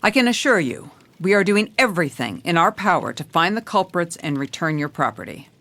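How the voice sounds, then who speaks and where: A woman's voice: on the low side, big pitch swings, a little fast, fairly bright tone. A woman playing a jaded, hard-boiled police commissioner, narrating a noir audio drama in a dry, world-weary, stern way. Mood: formal.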